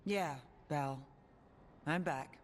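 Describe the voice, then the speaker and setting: A woman's voice: low voice, slow pace. A woman voicing a guarded, battle-worn but loyal fighter in a video game. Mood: determined. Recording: long hall reverb, music behind.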